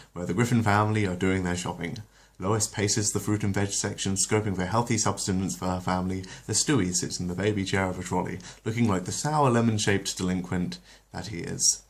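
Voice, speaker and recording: A man with a low voice reads a cartoon episode as a bedtime story. Between readings he rambles casually, correcting himself. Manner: rambling with fillers, self-correcting. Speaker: channel host and story reader. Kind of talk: casual intro, then storybook narration.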